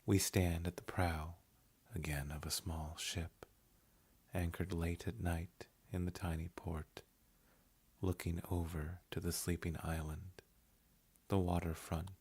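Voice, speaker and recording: Man, very deep voice, husky texture, measured hushed narration, reading essay and poetry. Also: flat delivery. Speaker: voice-over artist.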